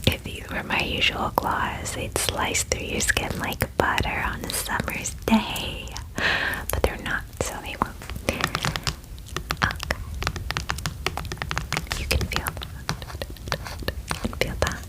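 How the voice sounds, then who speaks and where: Slow whispering friendly dragon girl played by a woman. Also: close to an everyday voice, rough recording. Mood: soothing, attentive. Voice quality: breathy whisper. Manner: gushing bestie talk, casual fillers, reassuring. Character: friendly, chatty vegetarian female dragon pampering a human. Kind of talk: whispered ASMR roleplay, personal attention.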